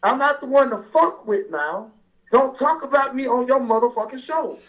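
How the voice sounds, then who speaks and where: A pastor from Alabama, calling in to a radio show and angrily threatening the host. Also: male voice, extremely high pitch, lively intonation, normal speed, fairly bright tone. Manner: angry, threatening, repetitive. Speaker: caller, pastor. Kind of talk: angry phone call to a radio show. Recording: dull lo-fi phone-in sound.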